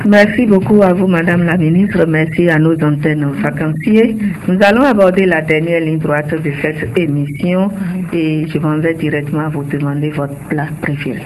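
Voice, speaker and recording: A man's voice: on the high side, fairly even intonation, moderate pace, hardly any pauses, a little mellow, a little hiss or hum. A man hosting a radio interview, friendly and prompting, often echoing his guest's words. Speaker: radio host.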